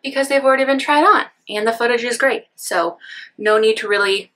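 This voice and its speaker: Bubbly, bright-voiced sniffly woman vlogger. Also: fairly high pitch, brisk. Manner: bubbly and gushing, many "so cute" exclamations. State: sniffly from a sinus infection. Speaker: fashion haul vlogger. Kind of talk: casual try-on haul chat. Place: decorated bedroom studio with clothing racks.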